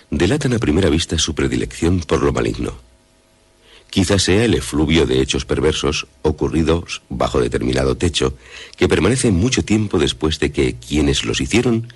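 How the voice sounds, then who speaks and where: A man acting as the grave, ominous reader of a macabre literary passage in a radio horror drama, measured and husky. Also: rumbling low, heavily acted.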